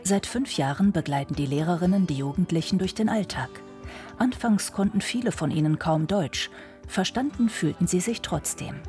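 A woman reading a scripted news report in a calm, measured way. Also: fairly low pitch. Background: music bed.

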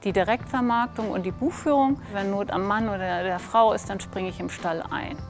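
A woman in her fifties, a farmer's wife, describing her tasks in a plain, warm way. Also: mid-range voice, normal speed, faint music behind. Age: 50s. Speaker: farm owner, bookkeeper. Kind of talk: casual interview statement.

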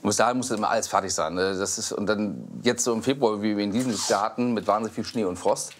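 A man in his forties who runs a country estate, talking casually about the building work in a hurried, practical way. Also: lowish voice, slight background noise. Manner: hurried, practical, under time pressure. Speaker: estate owner.

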